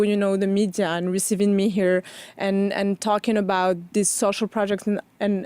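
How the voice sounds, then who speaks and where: Woman from Morocco, halting interview guest. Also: medium pitch, mellow, smooth, dry recording. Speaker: interview guest, foundation founder. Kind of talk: conversational interview.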